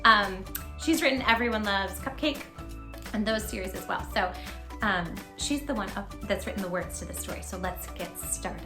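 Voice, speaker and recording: A woman hosting a children's read-aloud, speaking in an animated, emphatic way straight to small children. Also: mid-range voice, normal speed, music in the background. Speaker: children's storytime host and tutor. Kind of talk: children's read-aloud and chat to young viewers.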